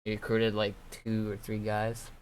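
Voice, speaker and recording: A man's voice: on the low side, completely flat delivery, measured pace, smooth. A man chatting casually as an online roleplay gamer, in a hesitant, rambling way. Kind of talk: casual chat.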